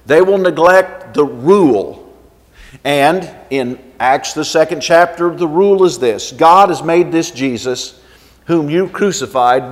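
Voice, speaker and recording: A man preaching an expository sermon, reading scripture and teaching it in an emphatic, deliberate way with rhetorical questions. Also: fairly high pitch. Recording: long echoing reverb of a hall.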